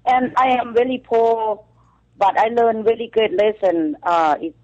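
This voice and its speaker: A woman from Thailand with a Thai accent, calling in as a guest to a radio show and speaking halting English full of fillers. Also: on the high side, a little mellow, muffled recording. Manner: halting non-native English with frequent fillers. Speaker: call-in guest, author. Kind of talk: phone-in radio interview. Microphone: telephone.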